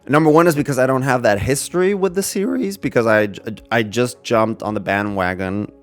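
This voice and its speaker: A man's voice: medium pitch, brisk. A man giving casual reaction commentary as a gaming video creator, rambling as he thinks aloud.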